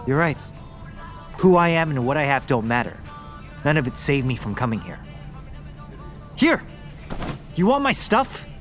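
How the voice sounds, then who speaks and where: A young male soldier in a radio drama, guarded and dryly sarcastic. He speaks slowly in clipped phrases, yet his intonation swings widely. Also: mid-range voice, big pitch swings, soft background music. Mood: bitter, exasperated. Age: young adult. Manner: clipped, dry, deliberate.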